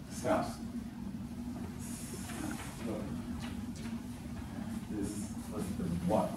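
A young Brazilian-accented man teaches a class, speaking slowly and haltingly with plenty of fillers. His lowish voice is caught by a camera at the back of a very echoey classroom. Steady room noise runs underneath. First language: Portuguese. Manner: hesitant, many fillers and restarts. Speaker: student teaching a practice class. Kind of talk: classroom lesson. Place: classroom with whiteboard. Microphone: far camera at back of room. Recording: echoey room from far off, noisy.

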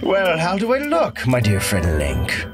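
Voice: medium pitch, huge pitch swings, a little slow. A let's-player putting on a gushing demon. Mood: theatrical. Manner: gushing, theatrical reading of on-screen game text. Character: grateful male bat-winged demon hoping to become human. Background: loud game music.

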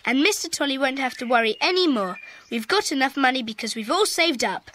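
A woman's voice: high voice, lively intonation, a little fast, a bit bright, a little hiss or hum. A woman playing an earnest, polite British schoolchild in a children's audio drama.

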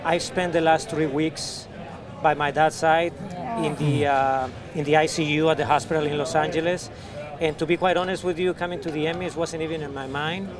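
A middle-aged news anchor with a slightly raised pitch talks earnestly and steadily into a handheld mic. He tells his story from the heart, with a crowd murmuring loudly around him. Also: steady tone, fairly quick. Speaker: news anchor, award winner. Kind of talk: backstage interview. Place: backstage press area at an awards show. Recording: busy crowd noise behind.

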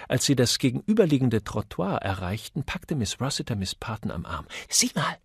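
A male audiobook narrator with a gravelly, husky voice and animated intonation. He tells the story expressively in polished scripted prose, recorded close and dry. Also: mid-range voice, a little fast, no room sound. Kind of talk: scripted literary narration of a crime novel.

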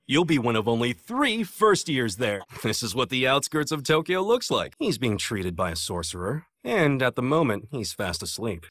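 A man's voice: mid-range voice, a bit bright, no echo, clean studio sound. An American man playing a cocky, playful young sorcerer teacher in an English anime dub, with a breezy manner. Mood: calm, explaining.

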